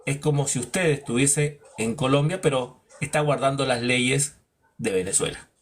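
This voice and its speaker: A man teaching a Bible lesson in a preaching style, asking rhetorical questions and acting out imagined dialogues. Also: moderate pace. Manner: emphatic, drops low on verdicts.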